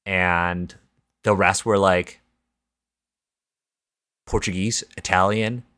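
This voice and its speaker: A man's voice: low-pitched, steady tone, slow pace, bright, forceful tone, smooth, no echo, studio quality. A man with a Canadian accent hosting a podcast, chatting casually in a candid, informal and reflective way. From Toronto, Canada. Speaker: podcast host.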